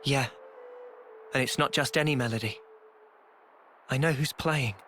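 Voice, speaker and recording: A man playing a thoughtful, earnest young soldier in a video game, speaking in a measured, reflective way. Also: medium pitch, a bit bright, a little hiss or hum, lightly acted. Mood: pensive. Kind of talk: video game cutscene voice acting.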